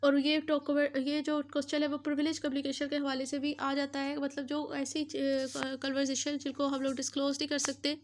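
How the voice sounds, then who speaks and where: A woman with a Pakistani accent teaches as a law lecturer. Her voice is high and stays on a narrow, even line. She explains step by step, circling back over points and dropping in English terms. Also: a little fast, hardly any pauses, a little hiss or hum. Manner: repetitive, uses English legal terms mixed in. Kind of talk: explanatory lecture, recapping legal articles.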